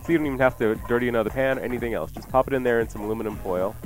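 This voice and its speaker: A man's voice: medium pitch, racing. A man hosting a radio cooking show, casually explaining recipes in a jokey, chatty way. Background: music playing behind the voice.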